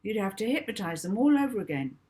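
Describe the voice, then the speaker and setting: A woman's voice: mid-range voice, big pitch swings, fast talker, darkish tone, slightly rough, pitched above the performer's own voice. A woman voicing a young boy in a children's audiobook, a reassuring best friend who explains things insistently and matter-of-factly. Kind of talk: children's audiobook character dialogue.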